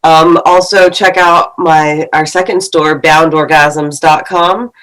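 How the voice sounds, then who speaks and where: A woman's voice: sits low, fairly even intonation, slow pace, a bit bright, low-quality recording. A young adult woman, a guest on a podcast, chatting casually and laughing easily. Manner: laughing, easygoing storyteller. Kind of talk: casual chat.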